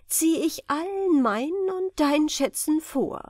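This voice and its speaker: A woman's voice: high voice, animated, a little slow, a little mellow, dry recording, studio quality, pitched above the performer's own voice. A woman voicing an effusive, doting sultan in a fairy tale, grand and exclamatory. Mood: delighted. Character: effusive, delighted sultan, a doting royal father.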